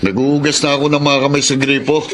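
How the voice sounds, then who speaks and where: A man's voice: on the high side, level tone, fast, background noise, close to an everyday voice. A man playing a hardworking, stubborn middle-aged father in a radio drama, with folksy sighing interjections. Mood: teasing, genial.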